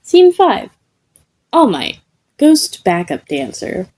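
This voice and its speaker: A woman's voice: high-pitched, very animated, slow, darkish tone. A woman reading a scripted comedic story as narrator. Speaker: podfic narrator.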